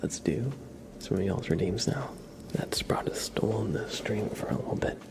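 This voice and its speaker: A man whispering softly and breathily as an ASMR live streamer, chatting casually and gently with viewers. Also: fairly low pitch, animated, normal speed. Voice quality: breathy whispering and soft murmuring, gravelly.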